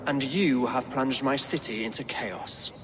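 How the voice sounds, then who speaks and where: A man voicing a learned, devout king who speaks in measured, formal phrases. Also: mid-range voice, big-room sound, background noise, lightly acted. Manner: scholarly, measured, quotes scripture. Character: learned, pious Saxon king.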